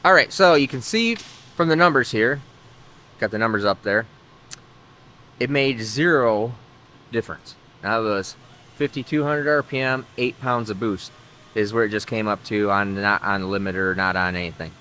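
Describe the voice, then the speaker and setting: A man's voice: lowish voice, normal speed, bright, forceful tone, slight background noise. A man hosting a car vlog, talking casually in a workshop, rambling with lots of filler words. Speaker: host of a car-building channel. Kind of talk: casual garage vlog talk.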